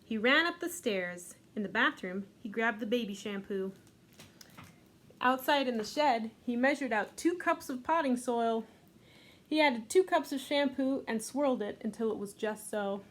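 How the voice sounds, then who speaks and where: A woman librarian leading a children's storytime, reading aloud gently and unhurriedly with a few fillers. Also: fairly high pitch, animated, moderate pace.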